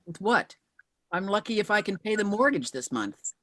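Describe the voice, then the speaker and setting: A woman's voice: medium pitch, big pitch swings, moderate pace, sharp tone, dry recording, clean studio sound. An actress reading the part of a determined widow in her fifties at a screenplay table read. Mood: frustrated, worried.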